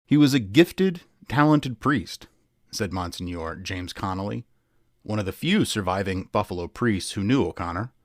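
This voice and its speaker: Guy hosting a podcast, reads articles dramatically, lowish voice, measured and punchy, really dry sound. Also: dry recording. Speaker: podcast co-host and producer. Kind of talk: scripted narration, dramatic article reading. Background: music.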